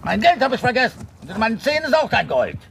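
Pompous sarcastic lord in a radio play, high voice all over the place, bit of noise behind. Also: male voice, huge pitch swings, fast talker, gravelly, fairly bright tone, full character voice. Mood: indignant. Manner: pompous, dryly indignant. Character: grumbling, haughty, sarcastic old lord. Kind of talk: German radio play acting.

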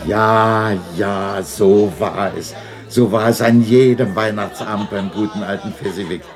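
A man's voice: lowish voice, a little slow, a crowd in the background. A man acting a remorseful old miser moved by his memories in a 1960s German radio drama. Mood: fond nostalgia. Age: older.

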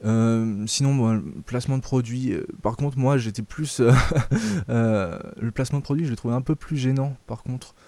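Man, from France, casual film review, hesitant, lots of fillers, opinionated, mellow tone, dry close sound. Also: mid-range voice, normal speed, gravelly, no room sound. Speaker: film review show host.

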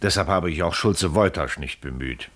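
An actor playing a genial, probing older judicial councillor in a radio play, jovial yet formal. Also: male voice, a bass voice, normal speed, a bit bright. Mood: businesslike. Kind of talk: German radio play acting.

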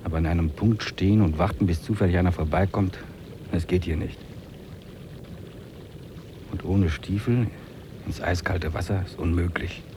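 An actor playing a stubborn, determined trekker and angler, brooding and deliberate, in a 1950s radio play. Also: male voice, sits low, lightly acted. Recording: noisy track with running water.

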